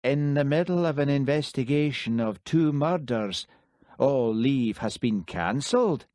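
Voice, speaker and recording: A man's voice: medium pitch, expressive, a little slow, a little mellow, no echo, clean studio sound, pitched above the performer's own voice, lightly acted. A man voicing an irritated, officious senior police detective who questions in a formal, demanding way. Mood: stern.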